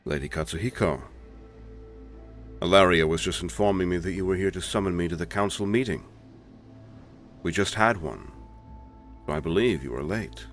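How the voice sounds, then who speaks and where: A man's voice: deep voice, moderate pace, pauses often, faint music behind. A man playing a courteous, commanding werewolf pack leader in a fantasy audio drama, speaking formally. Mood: cordial, formal. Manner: formal, authoritative. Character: lordly male werewolf alpha, courteous and commanding. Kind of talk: scripted fantasy audio roleplay.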